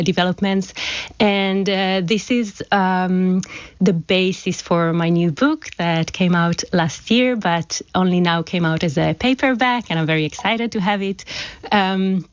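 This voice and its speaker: A woman's voice: fairly low pitch, no room sound. A woman from Israel, an academic guest talking conversationally in a radio studio interview. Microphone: studio microphone. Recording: slightly dull, band-limited broadcast audio.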